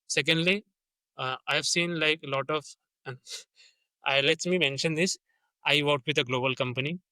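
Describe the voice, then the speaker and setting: A man's voice: mid-range voice, pushed and bright, no room sound, rough recording. A young man with an Indian accent, a sales rep talking through his work in a casual interview, earnest and full of restarts and fillers. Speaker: sales development representative, guest.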